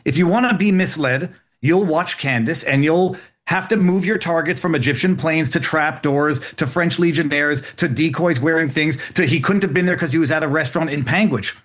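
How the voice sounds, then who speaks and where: A male guest on a talk show argues his case in careful, lawyerly language. His voice sits a little high, and he stays level and deliberate even when he pushes back. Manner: argumentative, measured, lawyerly phrasing. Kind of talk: interview discussion.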